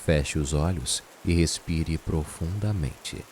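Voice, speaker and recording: A man with a Brazilian accent narrates a guided meditation in a soothing, unhurried way, leaving long pauses. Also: rumbling low. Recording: steady rain and hiss behind voice. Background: rain sounds.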